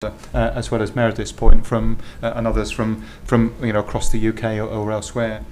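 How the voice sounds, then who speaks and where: Brief: male executive, flat even delivery, hesitant and careful, formal board-meeting register. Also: fairly low pitch, slight background noise. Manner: measured, halting, many restarts.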